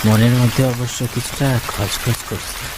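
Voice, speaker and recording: A man acts an earnest, kindly village trader in a cartoon, telling his own story. His medium-pitched voice is that of a suspenseful storyteller. Loud rain and thunder noise runs heavily over the recording. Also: fairly even intonation, normal speed, natural voice. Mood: earnest, expectant. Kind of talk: first-person cartoon story narration with dialogue.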